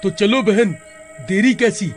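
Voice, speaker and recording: A man's voice: high voice, very animated, slow, faint music behind, exaggerated voice. A man playing an alarmed, earnest village man in a horror-comedy story, speaking anxiously. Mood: worried.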